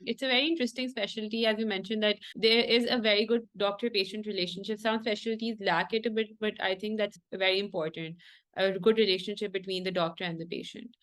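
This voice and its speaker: A woman's voice: mid-range voice, fairly even intonation, quick pace, fairly bright tone. A woman hosting a medical interview show, asking questions in a polite, formal manner. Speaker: podcast host.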